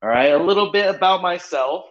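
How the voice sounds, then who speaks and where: A loud, energetic man in his thirties, from California, teaching a class over a video call. His voice sits high for a man, and the lo-fi call audio carries a roomy echo. He keeps checking in with his students as he goes. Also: brisk. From California, USA. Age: 30s. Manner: upbeat, repeats "okay?" and "all right?" to check in. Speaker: English teacher. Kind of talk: online class instruction. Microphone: computer microphone on video call. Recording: lo-fi echoey online call audio.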